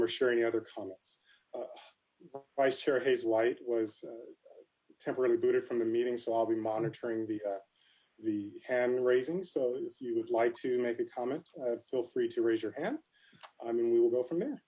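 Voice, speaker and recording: A man chairing a formal commission meeting over a video call, speaking in a measured way with frequent fillers. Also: mid-range voice, steady tone, dark tone, breathy edge. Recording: dull lo-fi video call audio.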